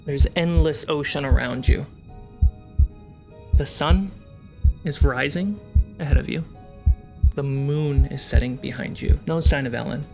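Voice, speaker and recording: Male game master, unhurried dramatic narration, short suspenseful phrases, clear musical backing. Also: fairly high pitch, darkish tone. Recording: music behind the voice.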